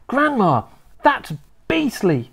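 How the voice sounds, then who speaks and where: An earnest little boy of eight from a children's storybook, acted out by a woman. His voice is raised high and swings expressively, innocent and plaintive as he objects. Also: wildly swooping pitch, slow pace, creaky, a bit bright, husky, full character voice. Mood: disgusted. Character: earnest, protesting eight-year-old boy.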